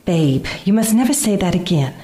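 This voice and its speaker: A woman playing a gentle, worried farm mother, soft and restrained, in a radio play. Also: mid-range voice, lively intonation, moderate pace, husky. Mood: quiet concern.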